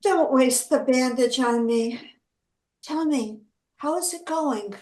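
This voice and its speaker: Woman as dying resistance fighter, high voice, slow, quietly proud, echoey stage drama. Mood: weak, proud.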